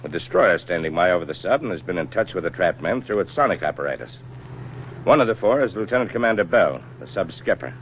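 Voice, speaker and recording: A stern Navy admiral speaks low, with formal, measured authority. It is 1940s radio drama, muffled and dull, with clear background noise. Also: male voice, sing-song. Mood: grave, businesslike.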